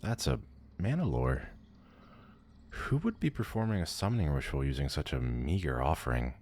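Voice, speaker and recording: A man's voice: rumbling low, sing-song, moderate pace, a little mellow, heavily acted. A man playing a powerful demon lord in an ASMR audio roleplay, pompous, condescending and theatrical. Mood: bored, intrigued. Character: haughty, powerful male demon lord, bored and amused.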